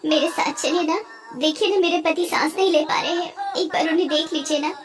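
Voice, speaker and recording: A woman's voice: very high-pitched, brisk, soft background music, voice pushed up. A woman voicing an anxious wife who pleads for help in a cartoon story. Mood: pleading, anxious. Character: anxious woman pleading for her breathless husband.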